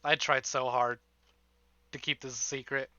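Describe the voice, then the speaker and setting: A man's voice: medium pitch, flat delivery, a little slow, highs cut off, heavy background noise. A man livestreaming a game with casual commentary and wry, joking asides.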